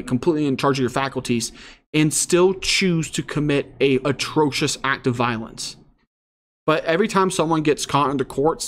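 A man hosting online commentary, reading news aloud and reacting casually. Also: mid-range voice.

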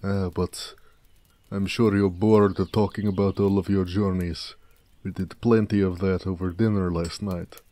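A man voicing a big, humble, sweet-natured orc blacksmith in an intimate romantic audio roleplay, gentle, unhurried and affectionate. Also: sits low, little pitch movement, no echo. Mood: friendly, chatty.

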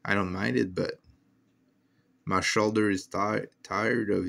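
A man's voice: on the low side, steady tone, slow pace, creaky, fairly bright tone. A man giving casual, slangy, exclaiming commentary as he films a shortwave radio scan on a handheld phone, easily amused. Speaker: radio hobbyist filming a scan. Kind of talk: casual excited commentary to camera. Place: indoors at a desk. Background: shortwave radio playing.

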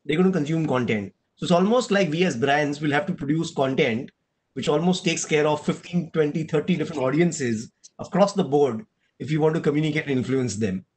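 A middle-aged man moderating a panel on a video call, animated and often adding "right?". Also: fairly high pitch, fairly quick, slightly rough. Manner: animated, gesturing, tag question "right?". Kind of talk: casual panel discussion. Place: room at home with blinds and shelves. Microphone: video call webcam.

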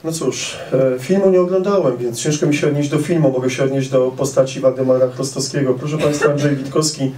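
A middle-aged man, an author at a public meeting, answering audience questions in a measured way with frequent hesitations, speaking into a handheld mic. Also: mid-range voice, fairly even intonation, dark tone. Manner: measured, many "e" fillers, precise. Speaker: author at a meet-the-author event. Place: indoor event hall with audience. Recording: roomy hall sound, camera some distance off.

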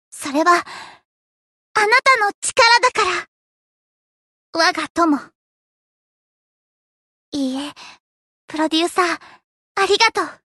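Casting: very high-voiced teen gothic idol girl, anime game, grandiose yet shy, unhurried delivery. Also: pushed and bright, no room sound, clean studio sound, exaggerated voice. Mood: earnest, tender. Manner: grandiose, dramatic archaic declarations, slipping into shy sweetness. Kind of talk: anime game character voice lines.

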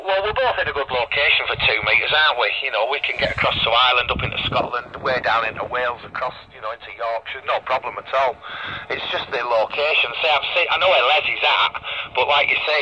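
Over a band-limited two-way radio with faint noise, a middle-aged Northern English man talks in a very sharp, forceful voice. He rambles along in a colloquial, matey chat, as a ham radio operator does. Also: medium pitch, lo-fi sound. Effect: VHF FM radio transmission. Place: received over VHF radio.

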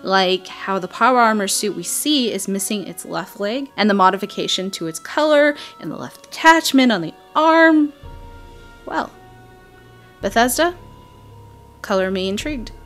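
Excited chatty woman gamer over music. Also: medium pitch, moderate pace. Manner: excited, chatty, emphatic delivery. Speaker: video game channel host. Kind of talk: game trailer breakdown voice-over, semi-scripted.

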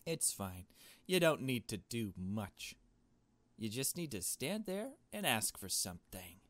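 A man voicing a blunt, bossy, haughty girl in a visual novel, brisk and condescending. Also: mid-range voice, very animated, a bit bright, no room sound.

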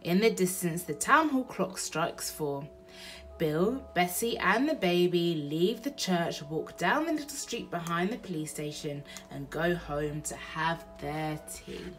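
A woman's voice: lowish voice, lively intonation, measured pace, soft background music. A young woman reading a children's story aloud at home as a storyteller. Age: young adult. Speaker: storyteller mother.

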